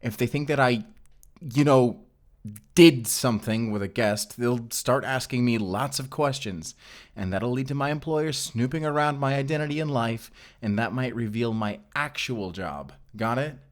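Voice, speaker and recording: A man's voice: medium pitch, normal speed, close to an everyday voice. A man playing a guarded, protective vampire slayer in an audio roleplay, speaking frankly and sternly. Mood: exasperated. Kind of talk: cinematic audio roleplay addressed to the listener.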